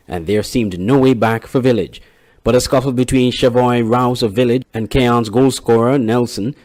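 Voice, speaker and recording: A man, reporting sports and local news in a steady, measured read. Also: mid-range voice. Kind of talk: scripted news reading.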